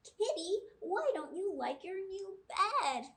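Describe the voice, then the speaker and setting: Woman voicing an eager, high-pitched little girl. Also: sing-song, slow pace, a bit bright, far from the mic, heavily acted. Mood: pleading. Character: eager, pleading little girl cat owner.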